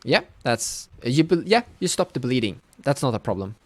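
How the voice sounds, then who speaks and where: A man acting as game master, improvising tabletop story narration in a conversational, halting way. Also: medium pitch, big pitch swings, darkish tone.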